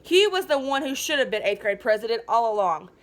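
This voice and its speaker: A woman reading a children's novel aloud as a teacher, in an animated, dramatic and informal way. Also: fairly high pitch, fairly quick. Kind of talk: expressive children's book read-aloud.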